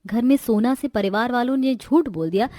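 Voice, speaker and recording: A woman's voice: fairly high pitch, brisk, warm tone. A woman delivering scripted, dramatic narration for a cartoon story.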